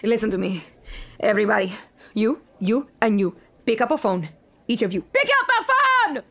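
An actress playing a volatile, theatrical TV producer in an audio drama, with an emphatic, profane, menacing way of talking. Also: female voice, mid-range voice, lively intonation, normal speed. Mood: menacing, frantic. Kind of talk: audio fiction acting.

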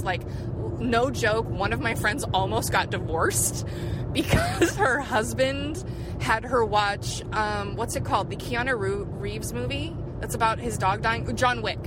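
A woman chatting casually and enthusiastically in an informal, sweary, rambling voice message. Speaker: podcast listener leaving a voice message. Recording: noisy voice message, car or outdoor rumble.

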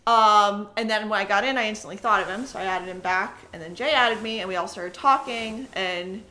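A woman's voice: medium pitch, a little fast, pushed and bright, slight background noise, low-quality recording. A chatty woman in her twenties telling stories casually, with frequent giggles. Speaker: special guest on a podcast Q&A. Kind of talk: casual chat and storytelling.

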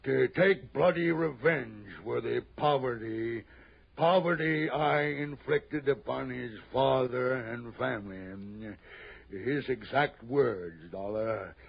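A male actor playing a gross, wheezing rich man in an old radio drama, halting and blustering as he repeats himself. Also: mid-range voice, vocal fry, breathy edge, dry recording, muffled recording, exaggerated voice. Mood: uneasy. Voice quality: wheezy, labored breathing. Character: gross, wheezing, frightened rich fat tycoon. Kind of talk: 1960s radio drama acting. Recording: band-limited old radio recording.

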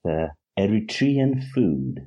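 A man's voice: fairly low pitch, very animated, slow pace, mellow. A man in his forties from Sweden, a podcast guest who talks candidly and laughs easily. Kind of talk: casual podcast chat.

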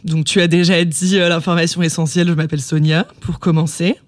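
A woman's voice: lowish voice, little pitch movement, fairly quick, dry recording. A woman of about thirty speaks as a podcast guest in a casual conversational style. The sound is dry, with very little room around her voice.

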